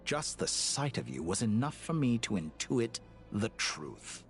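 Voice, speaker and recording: An actor playing a smug, cruel mad-scientist villain in a video game, pompous, taunting and condescending. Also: male voice, mid-range voice, big pitch swings, a little slow. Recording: game music behind the voice.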